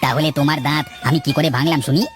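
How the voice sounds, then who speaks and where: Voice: medium pitch, steady tone, racing, lightly acted. An actor plays a proud, easily offended village man in a cartoon tale. He banters and argues in colloquial dialogue. Prominent music plays underneath. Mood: indignant. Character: touchy village messenger, proud of his long name. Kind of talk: Bengali cartoon story dialogue.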